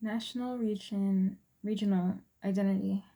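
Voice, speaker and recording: A woman in her twenties with an American accent, giving a prepared class presentation in a measured, point-by-point manner. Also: medium pitch, steady tone, dark tone. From US Virgin Islands and Texas, USA. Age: 20s. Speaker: student presenter.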